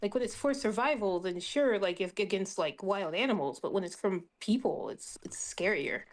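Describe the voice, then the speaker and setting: A female tabletop player with a voice of average pitch. She talks in a loose, casual way as she follows the game and speaks about her character. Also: animated, normal speed.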